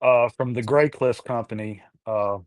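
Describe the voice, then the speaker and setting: A man hosting a podcast, talking in a slow, hesitant way with lots of fillers while reading a sponsor spot and chatting. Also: medium pitch, steady tone, dry recording. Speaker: podcast host. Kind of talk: casual podcast chat with sponsor read.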